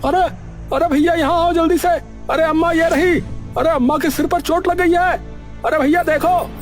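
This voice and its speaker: A man voices a brash cartoon villager spoiling for a fight. The voice is high for a man, scolding and taunting in coarse words, with light music underneath. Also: fairly even intonation, moderate pace. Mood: alarmed. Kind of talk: Hindi cartoon village story acting. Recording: faint music behind the voice.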